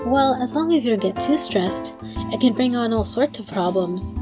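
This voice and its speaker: Woman as higher-voiced reassuring family doctor. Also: expressive, moderate pace, over music, lightly acted. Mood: attentive, reassuring. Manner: professional, reassuring.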